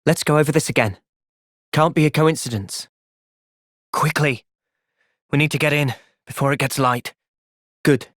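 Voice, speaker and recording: An actor playing an earnest, thoughtful young male hero in a fantasy video game, speaking sincerely and clearly. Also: fairly high pitch, normal speed, pauses often, a bit bright, dry recording, clean studio sound, lightly acted. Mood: calm, measured. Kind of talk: video game cutscene voice acting.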